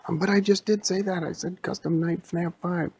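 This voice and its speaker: A man voicing an excitable, insistent male video-game character who loves to scream. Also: fairly high pitch, normal speed, vocal fry, husky, pitched above the performer's own voice, heavily acted.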